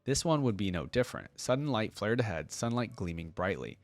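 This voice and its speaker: A man's voice: lowish voice, big pitch swings, brisk, dry recording. A man hosting a reaction video, reading a story aloud and commenting in a casual, slangy way.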